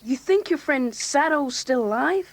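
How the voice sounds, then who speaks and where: A woman's voice: sits high, lively intonation, unhurried, no room sound. A woman playing an eager, inquisitive teenage boy in a dramatised read-along story, talking in a casual, blunt way. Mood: curious.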